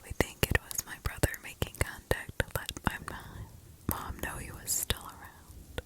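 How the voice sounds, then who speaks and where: A woman reads scary stories in a hushed, breathy ASMR whisper with deliberate pauses, close to the microphone. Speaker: ASMR host. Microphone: close ASMR microphone, ear to ear.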